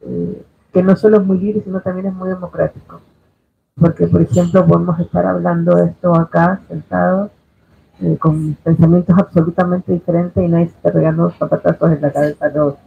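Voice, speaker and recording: A woman's voice: on the low side, little pitch movement, fairly quick, gravelly. A woman in her fifties from Chile, speaking as a panelist in a discussion, reflective and hesitant with many fillers. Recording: tinny lo-fi sound, faint noise.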